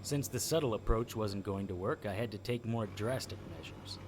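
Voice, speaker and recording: An actor playing a grim, deadpan occult investigator who narrates his own adventure in a noir audio drama. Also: fairly low pitch, moderate pace, heavy background noise, close to an everyday voice. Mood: grim, tense.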